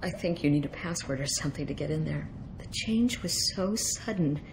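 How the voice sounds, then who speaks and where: A woman's voice: low-pitched, animated, normal speed, a little mellow, a little hiss or hum. A woman voicing a distraught, bitter middle-aged mother grieving in a video game. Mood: wistful sorrow.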